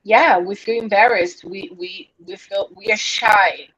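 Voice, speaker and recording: A woman's voice: mid-range voice, a little slow, a bit bright, breathy edge. A woman from Brazil with a Brazilian accent, an English teacher chatting casually on a live stream and often restarting her sentences. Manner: hesitant, repeats words and restarts. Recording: dull lo-fi stream audio.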